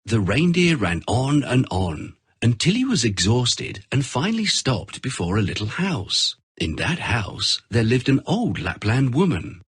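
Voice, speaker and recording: A man narrating a scripted children's story as an expressive storyteller. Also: medium pitch, a little slow, hardly any pauses, breathy edge, dry recording, clean studio sound.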